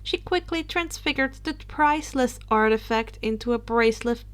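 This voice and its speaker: A woman's voice: on the high side, normal speed, a bit bright. A woman reading a story aloud as a narrator, now and then stumbling over a word. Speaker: audiobook reader of fan fiction.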